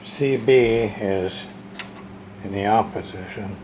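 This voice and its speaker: A man's voice: lowish voice, takes it slowly, a little mellow, slight background noise. A man casually narrating a hands-on unboxing as a radio repair hobbyist, talking to himself in folksy short fragments.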